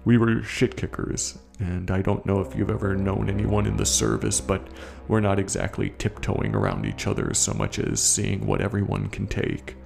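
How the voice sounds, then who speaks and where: Man acting gravelly, low-voiced haunted veteran. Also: flat delivery, a little mellow, faint music behind. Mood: brooding, haunted. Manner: confessional, coarse, halting. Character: haunted male war veteran, guilt-ridden and unraveling. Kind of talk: first-person horror audio story narration.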